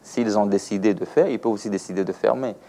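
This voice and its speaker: A man's voice: lowish voice, quick pace, dark tone. A man with a Congolese French accent giving didactic, explanatory interview answers as an aviation expert, using examples and rhetorical questions. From Democratic Republic of the Congo.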